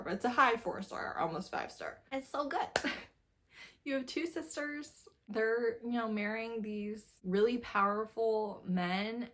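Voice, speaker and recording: A woman talks through books in a casual, chatty review style. Her voice sits at an average pitch for a woman. She is informal and emphatic, restating phrases to underline her opinion. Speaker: book reviewer vlogger.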